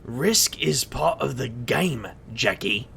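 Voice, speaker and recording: A man acts a roguish supernatural leader who teases and protects his crew. The voice is forceful and creaky, swinging freely in pitch. A faint rumbling noise sits under this audio drama performance. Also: lively intonation, slow pace, full character voice. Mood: concerned. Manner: teasing, protective.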